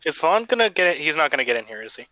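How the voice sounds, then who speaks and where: Bright-voiced man in dry casual chat. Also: on the high side, very animated, very fast, no echo, muffled recording. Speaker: gaming friend.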